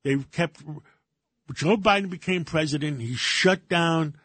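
An older man born in Greece, speaking English as his second language, talks through a radio segment in halting bursts. He stops and restarts often, his voice sitting a bit high. The sound is dry and close. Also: fairly bright tone, dry recording. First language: Greek. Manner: halting, many fillers and restarts. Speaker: radio show co-host. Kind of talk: casual radio talk.

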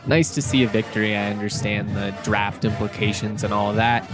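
A man hosting a sports podcast in a relaxed, conversational and opinionated way, his voice slightly hoarse. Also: fairly low pitch, normal speed, music in the background. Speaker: podcast host. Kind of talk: casual sports podcast talk. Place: indoors, late at night.

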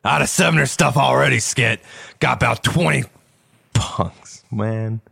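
A man's voice: medium pitch, measured pace, creaky, sharp tone, breathy edge, no echo, clean studio sound. A man voice acting in a recording session, restarting lines and joking between takes. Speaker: voice actor.

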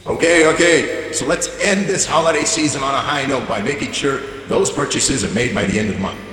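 A man's voice: mid-range voice, a little fast, fairly bright tone, roomy sound, in a large hall, faint music behind, natural voice. An actor playing a greedy, foul-mouthed male corporate CEO giving a bombastic holiday speech in an audio drama. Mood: salesy. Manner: bombastic, profane, dismissive. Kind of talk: audio drama comedy monologue.

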